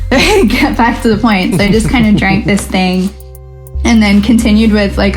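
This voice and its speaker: Woman guest, relaxed conversational storyteller, middle-range voice. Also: mid-range voice. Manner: chatty storytelling, acts out her own past thoughts in quotes. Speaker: lucid dream researcher and coach, guest. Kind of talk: casual podcast conversation.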